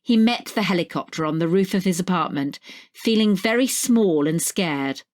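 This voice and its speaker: Female narrator, slightly low voice, reading scripted short fiction. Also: expressive, moderate pace, darkish tone. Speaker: story narrator.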